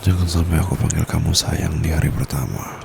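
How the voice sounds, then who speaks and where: A young man voicing a teasing private tutor who turns out to be dominant, in a soft, slow ASMR roleplay delivery close to the mic. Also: rumbling low, gravelly, exaggerated voice. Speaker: voice actor. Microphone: close condenser mic. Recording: steady background noise with traffic.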